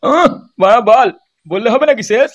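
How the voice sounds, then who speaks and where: A man's voice: very high voice, moderate pace, muffled recording, a little hiss or hum. A man voicing a foul-mouthed old grandfather in an amateur comedy cartoon, grumbling coarsely and keen to dance and drink. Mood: grumbling, defiant.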